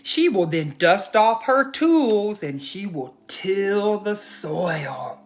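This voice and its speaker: A man reading aloud and teaching for children, expressive and addressing them directly with questions. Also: high-pitched, slow. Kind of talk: children's storybook read-aloud and lesson.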